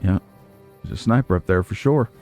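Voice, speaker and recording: A man's voice: low voice, a little fast, warm tone. A man hosting a video game playthrough, chatting casually and musing aloud with wry asides. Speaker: let's play host. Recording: game music behind the voice.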